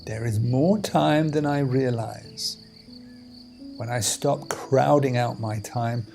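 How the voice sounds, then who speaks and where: Slow-talking man guiding a meditation over music. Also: mid-range voice, gravelly. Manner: measured, deliberate phrasing with pauses. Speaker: meditation teacher. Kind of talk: guided meditation instruction. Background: music and outdoor insect sounds.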